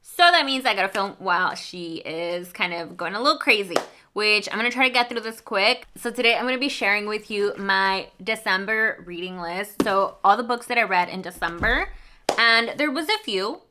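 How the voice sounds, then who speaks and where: A woman's voice: medium pitch, moderate pace, noisy background. A young woman from Mexico whose first language is Spanish, chatting casually through a book review with lots of fillers and asides. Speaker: book vlogger.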